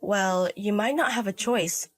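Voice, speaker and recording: A woman's voice: medium pitch, a little slow, a bit bright, soft background music. A woman voicing a stern older mother and grandmother in a story drama, scolding and firm. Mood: concerned, questioning. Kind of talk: acted dialogue in a narrated drama story.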